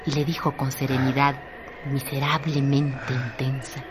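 A woman's voice: extremely low pitch, flat delivery, measured pace. A woman giving a dramatic, literary narration as the narrator of a radio drama. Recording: noisy radio drama track.

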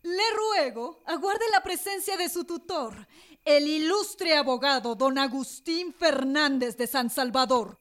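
A woman's voice: high voice, a little slow, a bit bright. A woman acting in a radio drama as a young, cautious widow of a colonial family, polite and evasive in manner. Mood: alarmed, pleading.

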